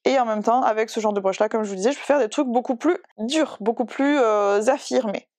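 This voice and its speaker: Chatty young French woman, high voice. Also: fairly quick, darkish tone, no echo. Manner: chatty, self-deprecating, many hesitations. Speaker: digital illustrator. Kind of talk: casual drawing tutorial.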